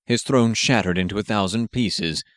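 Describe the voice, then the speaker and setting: A man's voice: on the low side, moderate pace, dry recording. Male audiobook narrator, dry close sound. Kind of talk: scripted narration of an action story.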